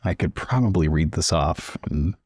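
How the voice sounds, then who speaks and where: Deep, husky man playing a tabletop game. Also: expressive, moderate pace, darkish tone, no room sound, clean studio sound. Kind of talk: casual improvised in-character dialogue.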